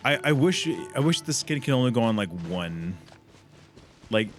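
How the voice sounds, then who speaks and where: A man's voice: medium pitch, very animated, moderate pace, music in the background. A man chatting casually as a livestream host and gamer, rambling in loose slang with frequent swearing.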